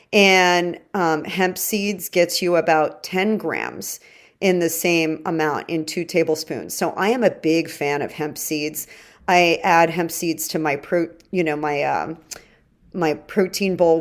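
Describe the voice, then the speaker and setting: A woman from Egypt hosting a podcast, explaining things in an informal, emphatic way and speaking directly to her listeners. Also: on the low side. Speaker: podcast host and chef. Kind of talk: conversational explainer on nutrition.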